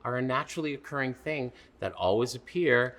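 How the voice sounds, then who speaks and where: A man's voice: mid-range voice, fairly quick, fairly bright tone. A man co-hosting a children's storytime, reading aloud emphatically and addressing young listeners directly.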